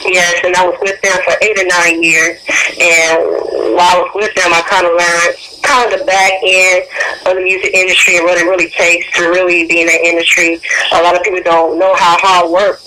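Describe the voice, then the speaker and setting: A woman's voice: lowish voice, little pitch movement, a little fast. A woman answering interview questions as a guest over the phone. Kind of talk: interview answers about own career. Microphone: telephone. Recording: phone call into radio, faint noise.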